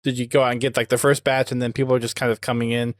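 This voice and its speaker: A man's voice: mid-range voice, level tone, very fast, no room sound, studio quality. A man asking casual questions as a podcast interviewer.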